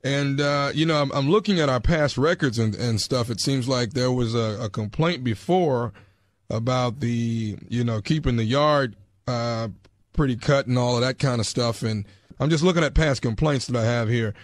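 A man playing a stern, bureaucratic neighborhood enforcement official with a halting, insistent manner on a prank call. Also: mid-range voice, no room sound, natural voice. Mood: officious, measured. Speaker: prank caller.

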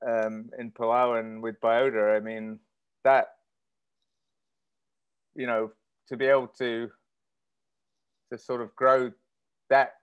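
A man's voice: medium pitch, flat delivery, slow, pauses often, smooth. A man in his thirties, from Malaysia, hosting a video-call interview and asking hesitant, filler-heavy questions from a stone-walled room at home. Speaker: host.

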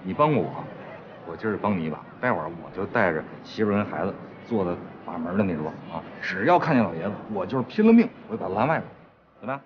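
A quick-talking, fairly low-voiced loyal pal, chatty and teasing in colloquial Beijing-accented speech. Natural TV drama acting, slightly dull sound. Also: male voice, sing-song, background noise. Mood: earnest, loyal. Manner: joshing, colloquial. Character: chatty, teasing, loyal male friend of the groom, married father.

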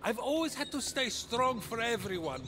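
A man's voice: very high voice, sing-song, normal speed, bright, forceful tone, slight background noise, full character voice. An actor playing a vain, needy male god and comic narrator in a game, whiny and melodramatic. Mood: self-pitying. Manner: whiny, melodramatic, self-pitying. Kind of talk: animated game voice acting. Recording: music behind the voice.

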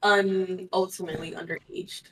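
A woman's voice: medium pitch, fairly even intonation, measured pace, fairly bright tone, far from the mic, rough recording. A woman co-hosting a stream, turning people down in blunt, dismissive casual talk. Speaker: stream co-host. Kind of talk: casual call chat.

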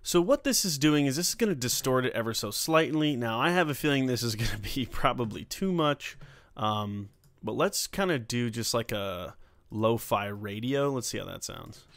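A man hosting a casual software tutorial, talking informally through each step as he works. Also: medium pitch, lively intonation, normal speed, no room sound. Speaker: tutorial host.

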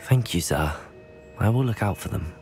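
An actor playing a polite, inquisitive teenage student hero in a video game, talking in a measured, courteous way. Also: male voice, lowish voice, lively intonation, darkish tone, faint music behind. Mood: curious, composed. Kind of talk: video game cutscene dialogue.

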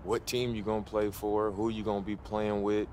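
An actor playing an encouraging, easygoing American pro basketball player in a video game, chatting casually. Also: male voice, fairly low pitch, level tone, normal speed, a little mellow, a little hiss or hum, lightly acted. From Oakland, California.

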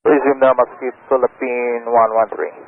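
A man speaking as an airline pilot over aviation radio, giving procedural, halting readbacks and reports. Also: mid-range voice, flat delivery, narrow, tinny audio. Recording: noisy, band-limited air traffic radio.

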